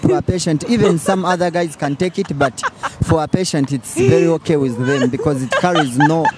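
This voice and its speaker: A man's voice: on the high side, a little fast. A man on the street explaining his answer, stumbling now and then on words. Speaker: passer-by interviewee. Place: street outdoors. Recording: loud street traffic noise.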